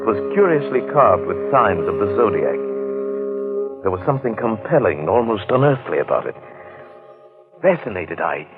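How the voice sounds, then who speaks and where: A man playing a genteel, curious London businessman in an old-time radio drama, with expressive, old-fashioned phrasing. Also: fairly low pitch, moderate pace, pauses often, over music. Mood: reflective narration. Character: John Buchan, affable curious London businessman recounting his strange tale. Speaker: narrator and lead actor. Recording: muffled vintage radio drama recording.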